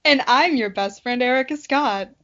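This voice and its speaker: Woman, fairly high voice, podcast co-host, animated slangy anecdotes, casual chat, slightly muffled audio. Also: moderate pace.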